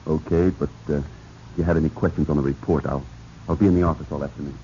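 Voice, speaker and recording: An actor playing a scheming, cold-blooded office subordinate in a 1940s radio drama. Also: male voice, rumbling low, fairly even intonation, fast, mellow. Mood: guarded, casual. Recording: dull, noisy 1940s radio recording.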